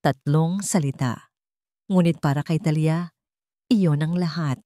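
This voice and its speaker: A woman with a fairly low voice reads a dramatic story aloud. Her phrasing is measured, with deliberate pauses for weight. The sound is dry, with very little room around it. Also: mellow, no echo. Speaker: storyteller, narrator. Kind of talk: dramatic scripted story narration.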